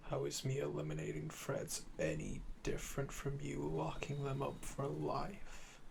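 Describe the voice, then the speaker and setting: A man voicing a calm, smug, flirtatious assassin in a slow, intimate ASMR roleplay, deliberate and condescending, often dropping to a murmur. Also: mid-range voice, creaky, slight background noise, heavily acted. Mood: coolly amused.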